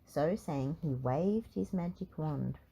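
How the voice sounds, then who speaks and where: A woman narrating a children's storybook in an expressive read-aloud style. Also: deep voice, slow, vocal fry, slightly rough, poor audio.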